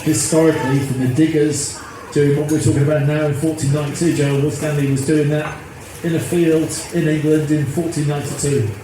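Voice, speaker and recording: A man's voice: on the high side, flat delivery, a little fast, few pauses. Earnest middle-aged man, echoey noisy recording. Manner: earnest, rambling, repeats himself. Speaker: activist interviewee. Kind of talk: casual interview.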